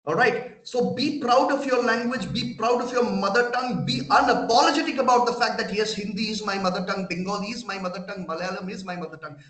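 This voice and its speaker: A man's voice: high-pitched, quick pace, few pauses, fairly bright tone. A man with an Indian English accent giving an emphatic, preachy motivational lecture to students over a video call, often putting rhetorical questions to his audience. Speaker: webinar guest speaker. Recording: roomy echo.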